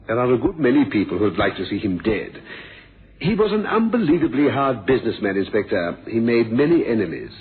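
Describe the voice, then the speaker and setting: A man's voice: mid-range voice, very animated, fairly quick, breathy edge, narrow, tinny audio, heavily acted. A man acting a prim, deferential managing director in a radio drama, formal and a little hesitant. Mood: prim disapproval. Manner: formal, hesitant, discreetly disapproving. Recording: thin band-limited old radio, noisy.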